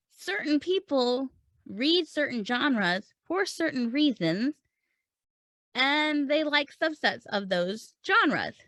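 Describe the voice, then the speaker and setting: Female podcast host-coach, slightly high voice, dry sound, conversational instruction with emphatic direct address. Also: a bit bright, dry recording.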